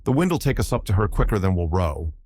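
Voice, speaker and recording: A man's voice: deep voice, very animated, brisk, darkish tone, no echo, studio quality. A man voicing a garrulous old Irish sailor spinning folksy, colloquial yarns in an audiobook story. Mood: excited shouting. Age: older. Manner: rambling, colloquial yarn-spinning.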